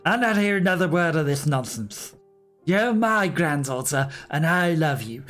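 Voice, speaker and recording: A man voicing a gruff, vulgar old grandmother who talks bluntly and scolds. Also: fairly high pitch, lively intonation, normal speed, a bit bright, soft background music, pitched above the performer's own voice. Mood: stern.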